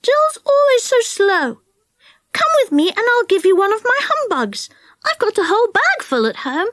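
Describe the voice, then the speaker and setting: A woman's voice: very high voice, big pitch swings, normal speed, fairly bright tone, no room sound, voice pushed up, heavily acted. A woman voicing bold, blunt young boys in a children's storybook. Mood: boastful.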